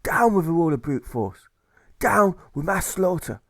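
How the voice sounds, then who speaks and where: A fiery anti-war orator acted out by a male audiobook reader, pitched high and declaiming loudly. He rallies working people with rousing, indignant force, in a dry recording. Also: lively intonation, normal speed, no room sound. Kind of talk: political rally speech in a rhyming audiobook.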